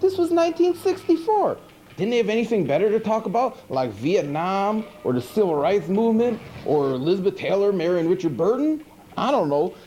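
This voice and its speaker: Man acting as goofy monster TV host, mellow voice, lively swooping pitch, folksy slangy rambling, noisy old VHS tape. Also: a little fast. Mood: riled, rambling. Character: goofy, rambling monster hosting a horror movie show. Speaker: horror movie show host. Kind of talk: comic movie commentary.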